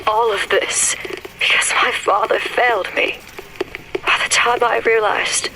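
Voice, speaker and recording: Whispery, regretful man, high-pitched. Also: rough recording. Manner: grave, halting, confessional. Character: regretful, failing man confessing in an audio diary. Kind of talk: scripted game audio diary.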